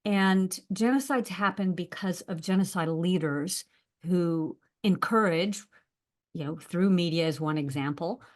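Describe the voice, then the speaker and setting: A woman's voice: lowish voice, unhurried. A woman with an American accent, a university lecturer, speaking on a webinar over a video call, with many filler words. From United States. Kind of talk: webinar talk.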